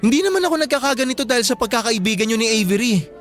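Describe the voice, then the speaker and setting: High, quick, hurt teenage ex-boyfriend. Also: male voice, expressive, music in the background. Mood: grave. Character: hurt, guarded teenage student ex-boyfriend, Benson. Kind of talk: radio drama acting in Filipino.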